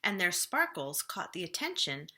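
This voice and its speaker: Bright-voiced woman reading a children's story. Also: on the low side, sing-song, normal speed, a little hiss or hum. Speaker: children's librarian reading a book aloud. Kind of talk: storybook read-aloud for children.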